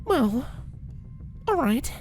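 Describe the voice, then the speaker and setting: A woman's voice: high voice, music in the background, full character voice. A woman voicing an exasperated, scheming young female unicorn pony who mutters to herself in a storybook reading. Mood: bewildered. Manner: flustered, muttering to herself, drawn-out expressive delivery. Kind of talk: acted storybook dialogue in a fan fiction reading.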